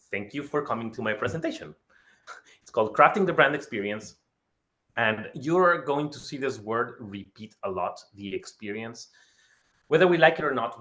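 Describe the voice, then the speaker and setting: A man's voice: mid-range voice, a bit bright, rough recording. A man from Ecuador giving an enthusiastic webinar presentation over a video call, often repeating words for emphasis. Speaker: guest speaker.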